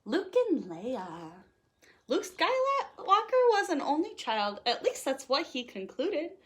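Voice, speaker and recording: Storytime reader, female, higher-pitched, very lively and expressive, with a playful lilt for a young audience. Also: slow. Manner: expressive, playful, sing-song delivery. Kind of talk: animated read-aloud of a rhyming children's picture book.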